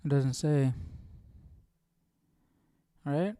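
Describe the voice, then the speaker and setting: Slow, smooth-voiced man musing aloud. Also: on the high side, mellow, no echo. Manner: questioning, musing to himself. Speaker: host of a live Bible study. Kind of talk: casual reading and thinking aloud.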